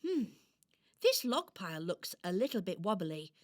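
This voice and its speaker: A woman's voice: mid-range voice, sing-song, moderate pace, dry recording, clean studio sound, pitched below the performer's own voice. A woman playing a timid, earnest young female hero who is trying to be brave, hesitant and wondering, in a children's radio play. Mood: nervous resolve.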